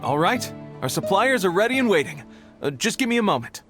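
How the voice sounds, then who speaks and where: A man's voice: sits high, very animated, a little fast, loud music behind the voice. A male actor playing an affable, humble shop assistant in a video game, easygoing and slightly awkward. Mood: upbeat, easygoing. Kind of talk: video game cutscene acting.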